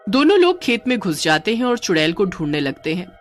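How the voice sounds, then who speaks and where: A woman telling a scripted story as narrator, in an expressive storytelling style. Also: medium pitch, fairly quick, faint music behind.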